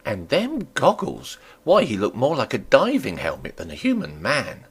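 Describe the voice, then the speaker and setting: A man's voice: mid-range voice, wildly swooping pitch, normal speed, slightly rough, voice pushed up, exaggerated voice. A man voicing a chatty, nosy, deferential country landlady in a storybook reading, with folksy rustic talk that rambles and fusses. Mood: gossipy fussing.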